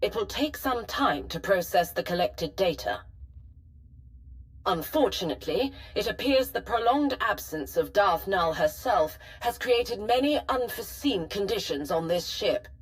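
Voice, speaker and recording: A woman playing a formal, measured artificial personality stored in an ancient archive, precise and helpful, in a video game. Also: mid-range voice, expressive, close to an everyday voice, poor audio. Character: calm, formal female personality matrix of a Sith holocron. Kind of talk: scripted video game voice acting.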